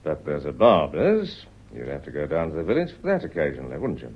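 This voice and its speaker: Radio play police inspector, polite but pushy, voice swings all over, dull muffled recording. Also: male voice, extremely low pitch, wildly swooping pitch, slightly rough, exaggerated voice. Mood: shrewd, insistent. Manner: deliberate, insistent questioning with polite address. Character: probing, courteous but relentless male police inspector.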